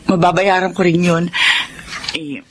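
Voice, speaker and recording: A woman's voice: on the low side, fairly even intonation, a little slow, slight background noise, lightly acted. An actress with a Filipino accent playing an anxious, doting, sickly mother in a radio drama, hesitant and pleading. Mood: apologetic, nervous.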